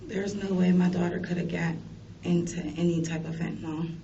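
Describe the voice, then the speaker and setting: A woman's voice: on the low side, steady tone, moderate pace, warm tone. A woman being questioned by police as a suspect, answering with terse, defensive denials. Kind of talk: police interrogation. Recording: distant muffled interview recording, clear background noise.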